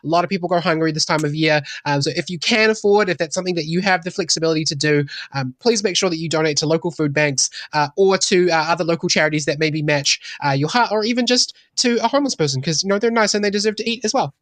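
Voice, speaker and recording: A man's voice: fairly high pitch, fast, hardly any pauses, fairly bright tone, no echo. A man chatting casually as a podcast guest, earnest and a little hesitant. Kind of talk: casual chat.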